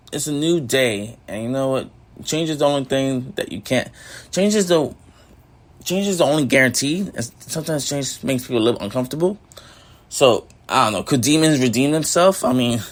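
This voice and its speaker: A young man with a slightly raspy voice hosts his own casual podcast, thinking out loud into a home mic. He rambles, restarts and leans on fillers, his pitch sitting in an ordinary middle range. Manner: rambling, filler-heavy, keeps saying "you know what I mean". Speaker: podcast host. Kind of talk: casual solo reflection.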